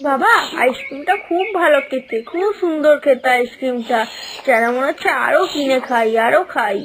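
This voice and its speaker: A woman's voice: high-pitched, moderate pace, noisy background. A woman voicing an eager, pleading young child in a children's cartoon. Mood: delighted.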